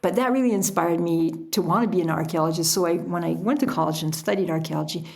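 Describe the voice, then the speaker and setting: A middle-aged woman telling stories casually as an interview guest, in an animated, chatty way. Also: on the low side, fast talker, vocal fry. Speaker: podcast guest, author and sailor.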